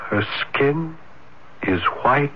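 An actor playing a shy, ardently lovestruck young man in an old-time radio drama, speaking haltingly and breathlessly in florid, reverent phrases. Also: medium pitch, animated. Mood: earnest, dreamy. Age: young adult. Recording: dull, noisy radio drama broadcast recording.

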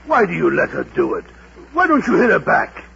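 A man's voice: high voice, wildly swooping pitch, creaky, sharp tone, full character voice. An actor playing a kindly, grumbling, world-weary older man in a golden age radio drama, theatrical and muttering. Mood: concerned. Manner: theatrical, slow, muttering asides. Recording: muffled, very noisy vintage radio broadcast.

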